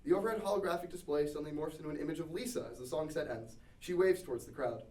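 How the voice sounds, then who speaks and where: A man's voice: on the high side, rapid-fire, darkish tone. A man reading scripted stage directions as narrator at a table read. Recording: echoey room, distant mic.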